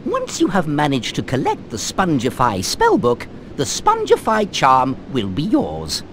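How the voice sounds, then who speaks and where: An elderly schoolmaster addresses pupils in a precise, fussy, kindly way. His pitch sits fairly high and leaps about wildly. It is game dialogue with faint noise. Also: wildly swooping pitch, a little slow, a bit bright, heavily acted. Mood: brisk, instructive. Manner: precise, formal classroom delivery. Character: elderly little male charms professor, kindly and fussy.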